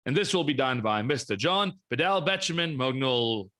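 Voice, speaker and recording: A man's voice: medium pitch, expressive, normal speed, sharp tone. A man hosting a research talk competition, thanking and introducing presenters in an upbeat way. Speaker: competition host.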